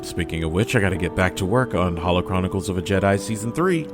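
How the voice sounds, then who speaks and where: A man with a fairly low voice and very lively intonation speaks over clear background music. He delivers a scripted message like a voice actor, theatrical and playfully mock-grumpy. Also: fast. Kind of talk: scripted personal message to listeners. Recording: music behind the voice.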